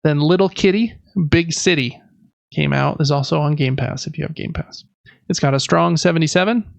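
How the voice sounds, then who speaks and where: Gravelly higher-pitched man, casual podcaster. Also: normal speed, no room sound. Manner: informal, conversational. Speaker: podcast host. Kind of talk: casual gaming podcast chat.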